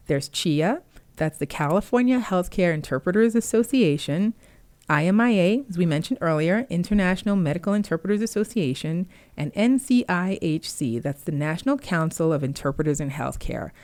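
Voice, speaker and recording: Woman trainer, native Haitian Creole speaker, deep voice, patient explainer, dry recording. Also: a little mellow, no echo. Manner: explanatory, uses fillers, clear step-by-step. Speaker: medical interpreter trainer. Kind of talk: informal instructional talk.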